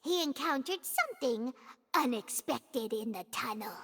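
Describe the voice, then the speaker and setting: A woman voicing a tiny, excitable female fairy companion in a game cutscene, eager and self-important as she lays out a theory. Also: high-pitched, measured pace, noisy background. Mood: eager explaining. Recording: game audio with loud music.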